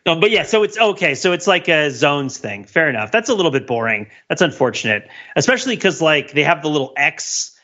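A man's voice: a little high, sing-song, a little fast, few pauses, pushed and bright, muffled recording. A man co-hosting a casual podcast discussion, rambling and interrupting himself as he thinks aloud. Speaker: podcast host.

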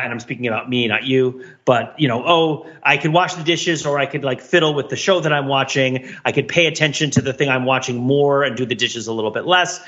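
A man's voice: medium pitch, fairly bright tone, lo-fi sound. A middle-aged man co-hosting a casual podcast, explaining things at length in an analytical, rambling, mock-academic way. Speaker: podcast co-host.

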